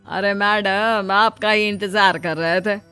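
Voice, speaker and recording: A woman's voice: medium pitch, fairly even intonation, slow pace, bright, forceful tone, clear, resonant tone, no echo, soft background music. A woman voicing an obliging village shopkeeper in a cartoon moral story, with casual, familiar sales patter. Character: obliging, chatty male village vegetable and grain seller.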